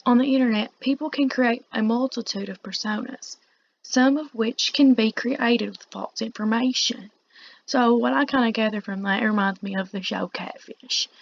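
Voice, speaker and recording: A woman teacher giving a read-along lecture, reading aloud and pausing to explain in informal asides. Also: a little high, vocal fry. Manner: explanatory, pausing to paraphrase the text. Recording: dull, muffled lo-fi recording.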